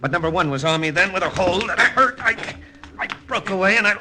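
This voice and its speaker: An actor playing a tough American lieutenant narrating a wartime spy adventure in terse, dramatic old-time radio style. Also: male voice, high-pitched, lively intonation, brisk, very noisy. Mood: urgent. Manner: terse, dramatic, hard-boiled. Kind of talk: 1940s-50s radio drama acting, hard-boiled first-person narration.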